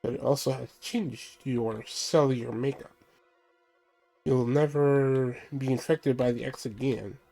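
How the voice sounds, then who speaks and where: A man reading out a stern male ship computer giving mission orders, measured and plain, his voice stuffy from a cold. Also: medium pitch, steady tone, vocal fry, dark tone, faint music behind, low-quality recording. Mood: briefing. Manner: reads briefing text aloud, measured.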